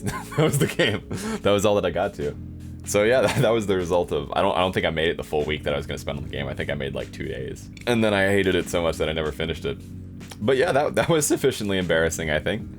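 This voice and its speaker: A man doing casual, dry, self-deprecating commentary as he hosts a playthrough of his own game. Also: low voice. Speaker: host playing own old visual novel.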